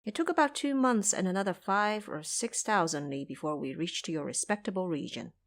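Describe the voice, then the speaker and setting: A woman's voice: fairly low pitch, lively intonation, brisk. A woman playing an earnest male travelling monk, speaking formally and deferentially in an audio drama. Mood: modest. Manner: formal, deferential, gently scolding.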